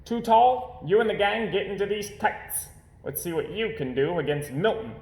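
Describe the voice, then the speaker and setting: A man's voice: sits high, expressive, normal speed, a little mellow, pitched above the performer's own voice. A man voicing a commanding adult coach bear for a children's storybook, announcing with emphasis. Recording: roomy, echoing home recording.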